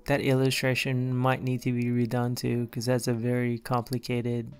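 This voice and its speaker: Man, forties, grew up in the Philippines, art timelapse narrator, gravelly, mid pitch, rambling, faint music. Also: normal speed. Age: 40s. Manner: relaxed, rambling, many fillers. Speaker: digital artist.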